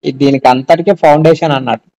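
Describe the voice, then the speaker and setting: A man's voice: fairly high pitch, steady tone, quick pace, mellow. A man talking casually on a phone call about faith, explaining and often asking check-back questions. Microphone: telephone.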